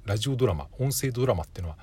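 Male solo podcast host, fast and conversational, slightly low husky voice, unscripted and self-correcting. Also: animated, darkish tone. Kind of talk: casual solo podcast talk.

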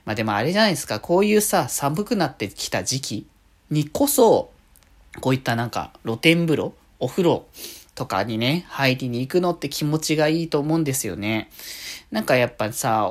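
A man's voice: mid-range voice, sing-song, fairly quick. A man hosting a solo podcast in a casual, chatty, rambling way, often drifting into little asides. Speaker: podcast host. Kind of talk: casual solo radio-style talk.

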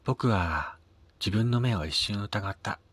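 A man with a husky voice narrating a scripted first-person radio drama, his phrase endings trailing into a breathy near-whisper. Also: fairly low pitch, lively intonation, takes it slowly, fairly bright tone, dry recording. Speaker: reader of own short story.

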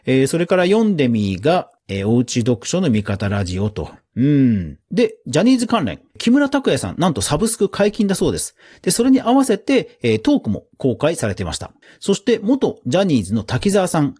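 A man's voice: on the high side, wildly swooping pitch, brisk, few pauses, dark tone, husky. A man hosting a podcast news round-up in a casual, chatty way, with informal asides and little interjections of agreement. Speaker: podcast host.

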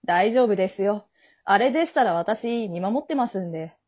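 A woman's voice: mid-range voice, lively intonation, moderate pace, darkish tone, lo-fi sound, natural voice. A woman acting in an amateur radio drama as a polite, kindly male guide of the afterlife, speaking in an earnest, businesslike, reassuring way. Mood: gentle, reassuring.

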